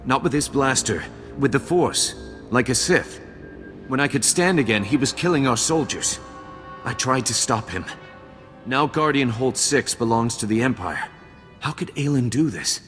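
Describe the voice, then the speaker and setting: Actor as a weary Jedi guardian, reverberant. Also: male voice, medium pitch, animated, moderate pace, fairly bright tone, close to an everyday voice. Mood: weary grief. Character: weary, grieving Jedi guardian defending survivors. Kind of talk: video game cutscene acting. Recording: long hall reverb, faint game music behind.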